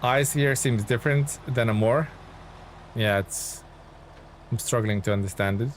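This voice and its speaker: A man talks casually to viewers while playing a game. His voice sits at an average pitch, and he is friendly and informal, often thinking aloud. Truck and engine sounds from the game are clearly heard behind him. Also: a little slow, a little hiss or hum. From Lithuania. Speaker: video game livestreamer. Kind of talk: casual gaming stream chat and commentary.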